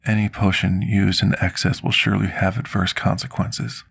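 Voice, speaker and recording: Male actor as remorseful male potion master, very low pitch, husky, formal and deliberate, intimate ASMR roleplay. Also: flat delivery, fairly bright tone, exaggerated voice. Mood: earnest, reflective. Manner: formal, deliberate, confessional storytelling. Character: scarred male potion master, devoted, remorseful, soft-spoken servant.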